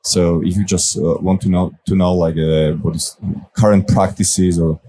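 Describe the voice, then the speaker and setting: Low mellow male voice, soft and a bit stumbling. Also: level tone, moderate pace. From Slovakia. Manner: soft-spoken, repeats words. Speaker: panelist, company founder. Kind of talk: unscripted panel talk. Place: conference stage.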